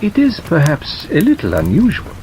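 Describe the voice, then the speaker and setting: A man playing a polite male Belgian detective in an audiobook, speaking in a mild, cautious, measured way. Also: a little high, pitched above the performer's own voice, low-quality recording. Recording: steady rain noise over narration.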